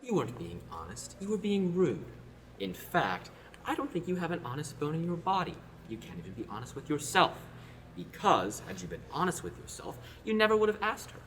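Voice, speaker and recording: A man's voice: a little high, wildly swooping pitch, brisk, fairly bright tone, a little hiss or hum, exaggerated voice. A teenage boy in a student radio play, acting an arrogant young noble prince who talks in a haughty, cutting way.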